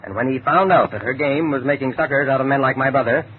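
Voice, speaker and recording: An actor playing a calm, shrewd, educated doctor turned amateur sleuth in a 1940s radio detective drama, speaking in a measured, deliberate way. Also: male voice, medium pitch, close to an everyday voice. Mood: reasoning. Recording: noisy, tinny vintage radio recording.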